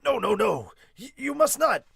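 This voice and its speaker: Mann spielt hektisch scheltenden Manga-Schwertkämpfer, hohe Stimme. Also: male voice, very high-pitched, sing-song, moderate pace, gravelly, a bit bright, husky, no room sound, pitched above the performer's own voice, exaggerated voice. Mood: panicked. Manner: urgent, scolding.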